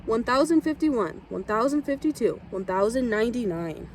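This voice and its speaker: Woman reading a kids' picture book aloud, expressive, fairly high voice, faint noise in the background. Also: moderate pace. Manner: expressive storytelling. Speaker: storybook reader. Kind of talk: children's read-aloud.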